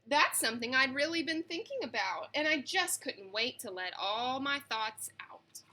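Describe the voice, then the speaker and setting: Animated high-voiced woman reading to kids. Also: normal speed. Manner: expressive, chatty asides to young listeners. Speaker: read-aloud reader. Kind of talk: animated children's picture book read-aloud.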